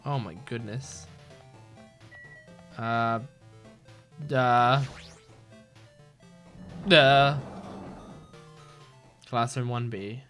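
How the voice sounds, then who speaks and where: A woman's voice: very deep voice, steady tone, extremely slow, long pauses, a bit bright, soft background music. A woman streamer reading a video game's text aloud in a subdued way, with casual asides. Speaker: let's-play streamer.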